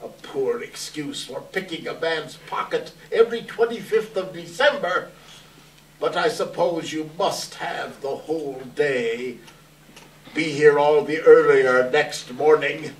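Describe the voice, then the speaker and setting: A man's voice: a little high, measured pace, lightly acted. A man playing a cold, miserly, grumbling old businessman in a stage reading, with a curt, sneering delivery. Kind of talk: readers theater stage reading. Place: live indoor readers theater event. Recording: distant mic in echoey room, hiss.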